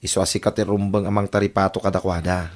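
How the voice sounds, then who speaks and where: A man's voice: low voice, level tone, quick pace, a little mellow, close to an everyday voice. A man with a Filipino accent playing a hard-up, caring husband and father in an audio drama. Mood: concerned. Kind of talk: Ilocano audio drama acting.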